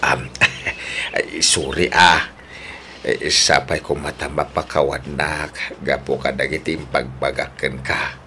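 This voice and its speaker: Man acting deep-voiced, excitable old village father. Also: takes it slowly, a little hiss or hum, heavily acted. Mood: moved, apologetic. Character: elderly village father, excitable and easily astonished. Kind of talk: comic Ilocano radio drama acting.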